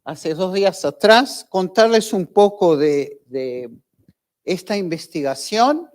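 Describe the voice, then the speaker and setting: An older man from Argentina with an Argentine Spanish accent, giving a relaxed, conversational closing lecture with hesitant fillers. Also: sits high, slow. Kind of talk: academic lecture.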